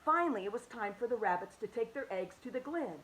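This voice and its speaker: A woman reading a children's picture book aloud with a fairly high, very lively voice. She is animated and expressive, swinging up for excitement and dropping to soft, hushed tones. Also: quick pace. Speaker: children's story reader.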